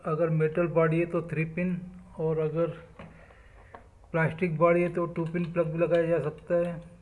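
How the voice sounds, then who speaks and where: Flat-toned man explaining electronics. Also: a little high, low-quality recording. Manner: speaks in short measured phrases, listing parts. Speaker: electronics instructor. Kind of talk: step-by-step technical explanation.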